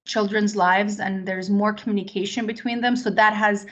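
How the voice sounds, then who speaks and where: A woman in her late forties, born in Iran, speaks as an interview guest at a middle pitch. She talks reflectively and casually, pausing often with "you know" and "um" while she works through personal experience. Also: fairly even intonation, a bit bright, muffled recording. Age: 40s. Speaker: interview guest, tech executive.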